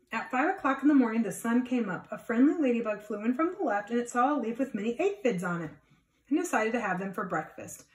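A woman in her thirties reading a storybook expressively to children, adding simple explanations. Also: medium pitch. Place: small plain indoor room. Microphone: phone or camera a few feet away. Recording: echoey room, distant phone mic.

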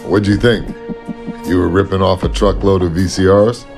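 An actor playing a gruff, terse tough man with a gravelly voice in a video game cutscene. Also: deep voice, fairly even intonation, normal speed. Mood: terse, guarded. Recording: loud music under the voice.

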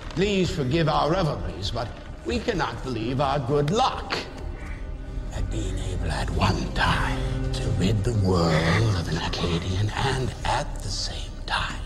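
Gloating, theatrical fantasy villain. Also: male voice, fairly low pitch, reverberant, big-room sound, exaggerated voice. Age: middle-aged. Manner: theatrical, sneering, drawn-out delivery. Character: gloating theatrical villain taunting a fallen warrior king. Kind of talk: fantasy film acting.

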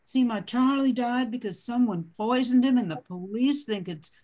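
A woman's voice: fairly high pitch, normal speed, warm tone, natural voice. An older woman playing an anxious, desperate widow in an amateur radio drama.

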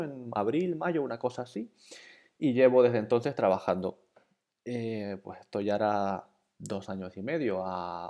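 A man from Bolivia, introducing an online programming course in a relaxed, conversational way. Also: medium pitch, dull audio. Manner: relaxed, conversational, with fillers and repeated encouragement. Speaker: course instructor. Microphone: voice-over over slides.

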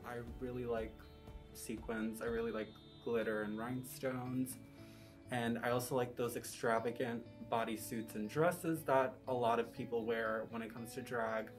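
A young woman design student from Pittsburgh, speaking in a measured way with pauses. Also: a bass voice, steady tone, soft background music. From Pittsburgh area. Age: young adult.

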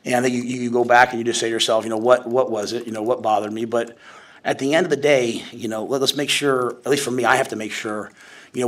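Fast-talking coach at a podium. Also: male voice, mid-range voice, fairly bright tone. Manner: rapid, conversational, many restarts and fillers. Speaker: head football coach. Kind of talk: postgame press conference. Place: press conference room, at a podium. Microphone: podium mic.

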